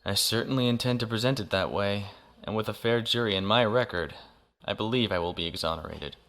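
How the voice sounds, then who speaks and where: An actor playing a composed, principled naval officer in an audio drama. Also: male voice, lowish voice, fairly bright tone. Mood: calm. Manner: measured, composed.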